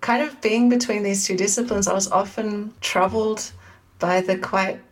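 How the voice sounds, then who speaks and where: A woman in her forties with an Australian accent, speaking in a measured, thoughtful way as an academic guest in an interview. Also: medium pitch, steady tone, far from the mic. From Sydney, Australia. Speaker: guest, media studies academic. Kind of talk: reflective interview conversation.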